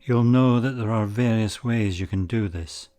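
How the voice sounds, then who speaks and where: A man leading a guided meditation, speaking in measured, deliberate short phrases with pauses between them. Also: lowish voice, dark tone. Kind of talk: guided meditation, slow spoken instruction.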